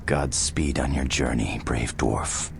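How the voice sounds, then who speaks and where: Solemn fantasy sorcerer, deep male voice, slow formal theatrical speech, portentous, video game cutscene, noisy rumbling ambience. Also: vocal fry, exaggerated voice.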